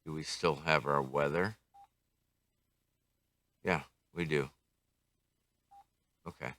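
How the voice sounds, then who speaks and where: Very deep-voiced older man, casually reviewing gear. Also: fairly even intonation, fairly bright tone, dry recording. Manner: unhurried, musing, talks to himself. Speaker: radio hobbyist reviewer. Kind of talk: casual hands-on product review, thinking aloud.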